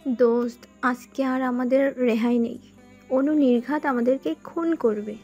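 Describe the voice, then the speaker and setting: A woman plays a young female student, high-voiced and anxious. She speaks urgently and in a scolding way, as in an audio drama. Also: fairly even intonation, a little slow, mellow, soft background music. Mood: fearful. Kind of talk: audio story acting.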